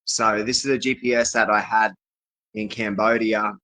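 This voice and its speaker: Low-voiced insistent man from Australia. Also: little pitch movement, moderate pace, sharp tone, rough recording. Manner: insistent, repetitive declarations. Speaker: vlogger speaking to camera. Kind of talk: rambling personal monologue to viewers.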